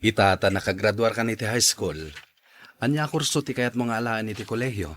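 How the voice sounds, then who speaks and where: A man's voice: lowish voice, a little fast, fairly bright tone, close to an everyday voice. A man playing a supportive, plain-spoken middle-aged father in a radio drama. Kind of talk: Ilocano radio drama acting.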